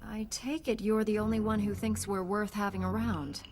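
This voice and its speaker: A woman's voice: mid-range voice, steady tone, normal speed, slight background noise, close to an everyday voice. A woman playing a resolute, principled young knight in a video game, speaking in a composed and earnest way. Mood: polite, dry. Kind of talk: video game cutscene acting.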